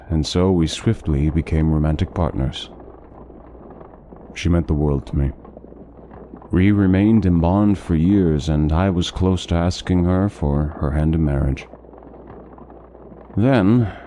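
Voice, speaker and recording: Guy doing a deep soft-toned scholar in a fantasy audio story, polite n formal, kinda melancholy, bit of music behind. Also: slight background noise. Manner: measured, formal, articulate storytelling. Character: courteous, learned, melancholy male traveling scholar telling tales. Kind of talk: fantasy audio drama monologue.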